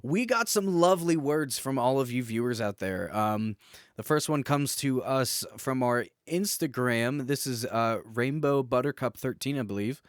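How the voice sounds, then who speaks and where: A man's voice: medium pitch, lively intonation, moderate pace, clean tone, dry recording, studio quality. A man co-hosting a podcast in casual, chatty banter, jokey and prone to rambling. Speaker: podcast co-host.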